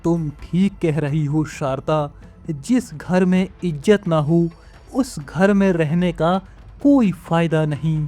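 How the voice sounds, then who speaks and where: A woman voicing a gentle, dignified old village husband and father in a measured, earnest manner, for a moral story. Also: low-pitched. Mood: resigned. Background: music.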